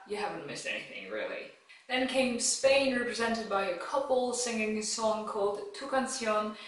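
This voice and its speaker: A woman's voice: medium pitch, a bit bright. Woman rambling to her camera, blunt opinions, lots of likes, super echoey room, mic kinda far. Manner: chatty and blunt, frequent "like" fillers. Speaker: vlogger. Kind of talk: casual reaction chat to camera. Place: at home alone.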